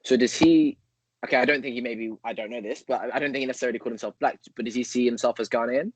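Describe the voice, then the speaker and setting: A man's voice: mid-range voice, very fast, breathy edge, no room sound, low-quality recording. A man co-hosting a podcast, thinking aloud in a rambling way, full of fillers and hypothetical examples. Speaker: podcast co-host. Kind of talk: casual conversational interview.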